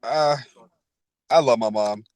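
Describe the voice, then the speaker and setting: A man's voice: mid-range voice, takes it slowly, a little mellow, no room sound. A man in his thirties speaking candidly as an interview guest, full of street slang and "you know.". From New York. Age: 30s. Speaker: podcast guest.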